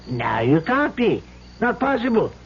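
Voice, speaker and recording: Faintly noisy vintage radio drama, scheming trader, evasive, clubby politeness, slow male delivery. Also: sits high, highs cut off, heavily acted. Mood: breezy, evasive. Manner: hesitant, apologetic, clubby old-boy politeness. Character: smooth, evasive, scheming rival trader, falsely genial. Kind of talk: 1970s radio drama acting.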